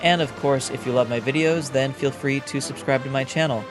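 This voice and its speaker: A man's voice: medium pitch, steady tone, a little fast, over music. A man giving casual, explanatory commentary as a gaming video host, feeling his way through his phrases with some repetition. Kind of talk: casual game lore commentary.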